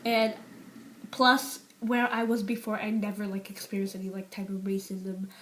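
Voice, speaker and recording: A woman's voice: mid-range voice, completely flat delivery, moderate pace, a bit bright, a little hiss or hum, rough recording. A seventeen-year-old girl from the UK, talking openly to camera with lots of fillers and restarts, her voice sometimes dropping to a near whisper. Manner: rambling, many fillers and restarts; drops to a near whisper on painful topics. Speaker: vlogger. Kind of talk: unscripted personal confession to camera.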